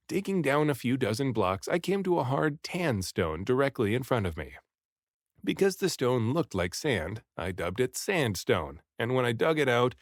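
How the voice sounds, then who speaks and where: A man narrating a first-person adventure story aloud in an expressive, dramatic storytelling manner. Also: mid-range voice, no echo, clean studio sound. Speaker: audiobook reader.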